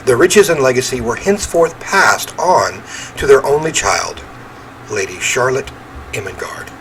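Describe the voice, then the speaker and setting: Animated man on a noisy gaming recording. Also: mid-range voice, a little slow. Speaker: gaming host playing a horror visual novel. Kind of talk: casual let's play commentary with read-aloud narration. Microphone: standalone microphone at a desk.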